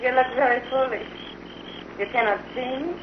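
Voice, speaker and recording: A woman's voice: high-pitched, natural voice. Woman acting coy, teasing western temptress, muffled. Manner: coy, playful, deliberate. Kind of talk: old-time radio western acting. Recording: muffled old radio recording, faint noise.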